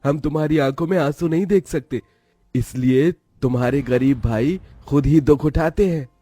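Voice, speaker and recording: A man's voice: fairly high pitch, moderate pace, mellow, no echo, clean studio sound, natural voice. A man voicing two poor, devoted older brothers in a cartoon, speaking in an earnest, emotional way. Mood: tender. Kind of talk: cartoon voice acting.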